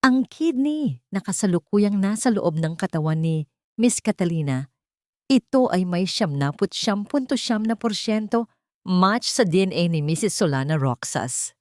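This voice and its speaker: A composed male lawyer in a drama story, voiced by a woman narrator, makes his case with a sharp edge. The delivery is formal and firm, with expressive rises and falls in a dry recording. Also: medium pitch, no echo, lightly acted. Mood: calm, firm. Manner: formal, firm, deliberate courtroom address. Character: calm, sharp-edged male lawyer arguing in court.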